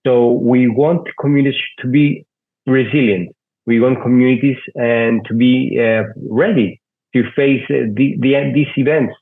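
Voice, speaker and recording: A man whose first language is Greek, speaking slowly and deliberately at a panel discussion, often searching for words. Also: mid-range voice, steady tone. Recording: tinny phone-like call audio.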